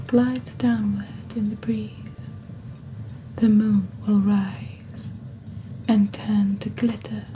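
A woman speaking in a breathy, whispery ASMR style, close to the microphone, with a deliberate theatrical delivery as she presents a scripted roleplay. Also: mid-range voice, background noise. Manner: slow, deliberate, hushed, theatrical storyteller delivery. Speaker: host of a roleplay shopping channel. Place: indoors at a desk. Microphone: close desktop microphone.

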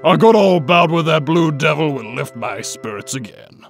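Aging cartoon mastermind played by a man: theatrical, self-important, gravelly delivery, music underneath. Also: a little high, animated, moderate pace. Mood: excited, scheming. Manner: theatrical, grandiose. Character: pompous aging male evil genius villain. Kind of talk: comic dub voice acting.

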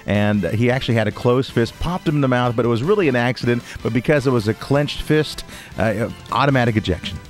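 A man's voice: mid-range voice, expressive, brisk, faint music behind. A man co-hosting a sports radio show, giving conversational sports commentary.

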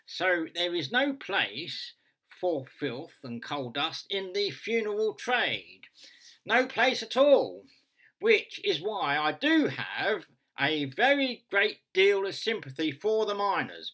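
Guy doing a nasal funeral director on a radio phone-in, bright voice, highish, deadpan and rambling. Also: slow, exaggerated voice, low-quality recording. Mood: solemn, pedantic. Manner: hesitant, ponderous, repetitive deadpan. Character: earnest, long-winded male funeral director, radio phone-in caller.

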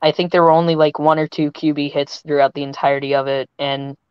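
A young man on a video call giving measured, detailed breakdowns of football play. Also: a little high, little pitch movement, clean tone, no echo, lo-fi sound. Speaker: panelist. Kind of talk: casual sports analysis.

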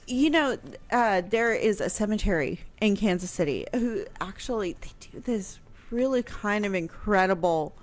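A woman's voice: medium pitch, lively intonation, normal speed. A middle-aged American woman hosting a casual radio talk show, speaking close to the microphone. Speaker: radio show host answering history questions. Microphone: close microphone on boom arm, headphones.

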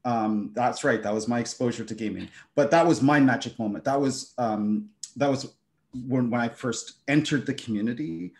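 A man's voice: mid-range voice, normal speed, creaky, a little mellow. Man, middle-aged, native German speaker, game designer, panel talk, hesitant, lots of fillers, video call, home room. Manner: hesitant, many fillers and restarts.

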